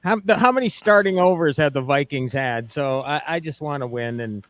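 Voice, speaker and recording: A man's voice: fairly high pitch, moderate pace, a bit bright, dry recording. A man talking films as a guest on a radio show, chatty and full of restarts. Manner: talkative, restarts often. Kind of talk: casual radio chat.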